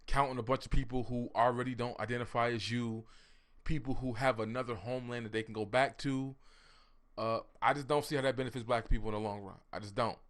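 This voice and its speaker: A man's voice: medium pitch, fairly even intonation, fast. A man hosting, giving blunt, opinionated commentary in reply to a clip he has just played.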